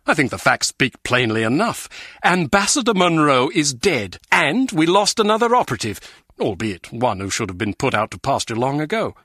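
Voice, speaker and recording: A man's voice: mid-range voice, sing-song, normal speed, bright, forceful tone, dry recording, clean studio sound. A man playing a pompous, scathing spy agency official in a video game. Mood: scornful.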